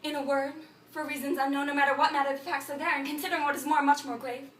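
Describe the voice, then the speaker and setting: A woman playing a frantic, babbling thinker in an absurdist stage monologue, incantatory and repetitive, swinging between muttering and shouting. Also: sits high, level tone, quick pace. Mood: rambling, urgent. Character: frantic, babbling thinker reciting a broken learned tirade. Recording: echoey room, distant microphone.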